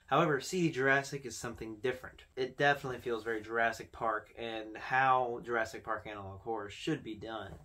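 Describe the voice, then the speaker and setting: A man's voice: mid-range voice, steady tone, fairly quick, hardly any pauses, bright, forceful tone. A young man hosting a video with casual, informal commentary, talking conversationally to camera. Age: young adult. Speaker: video host. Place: small indoor room with posters.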